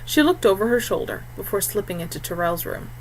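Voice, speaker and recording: Woman narrating fiction over clear background noise. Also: medium pitch, expressive, fairly quick, low-quality recording. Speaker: audiobook narrator.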